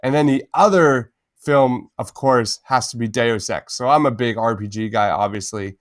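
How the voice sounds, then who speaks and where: Male podcast co-host, eager and informal, average pitch, dry close sound. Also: normal speed, dry recording. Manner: enthusiastic, uses "man" often. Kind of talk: casual podcast chat.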